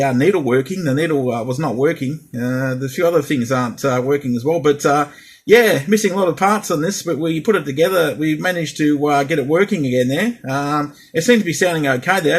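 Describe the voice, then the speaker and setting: A man's voice: fairly high pitch, husky. A man in a casual radio chat as a CB operator, speaking hesitantly and repeating himself. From Australia.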